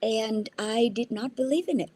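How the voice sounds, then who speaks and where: Earnest Vietnam-born woman, husky voice. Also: on the high side, normal speed, warm tone, no room sound. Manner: earnest, restarts words, tags sentences with "right?". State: jet-lagged. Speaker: guest entrepreneur. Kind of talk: casual interview. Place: at her mother's house.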